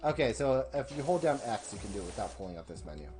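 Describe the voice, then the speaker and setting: A young man casually commenting while playing a video game on a live stream, rambling, swearing and thinking out loud. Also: mid-range voice, very animated, quick pace, soft background music.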